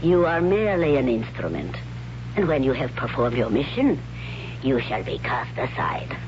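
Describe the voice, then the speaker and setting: An actress playing an imperious, contemptuous high priestess in a radio drama, haughty, stately and cutting. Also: female voice, big pitch swings, moderate pace, darkish tone. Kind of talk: 1970s radio drama acting. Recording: noisy, dull 1970s radio broadcast with hum.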